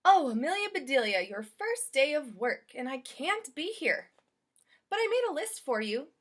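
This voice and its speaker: A woman reader puts on a polite lady of the house from a storybook. Her voice is high and lilting, and she stretches words out as she instructs the help. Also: very animated, moderate pace. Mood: apologetic, instructing. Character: polite lady employer giving a new maid instructions.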